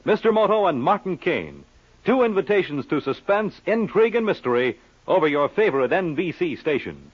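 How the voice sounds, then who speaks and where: A muffled vintage radio recording of a male announcer. The voice is husky and highish, swinging dramatically through an emphatic promotional read. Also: sing-song, a little fast.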